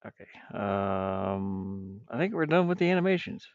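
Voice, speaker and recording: A man with a gravelly voice rambles and mutters to himself, thinking aloud casually as he streams his game development work. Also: fairly low pitch, big pitch swings, measured pace, no room sound. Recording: dull, muffled, dry lo-fi microphone.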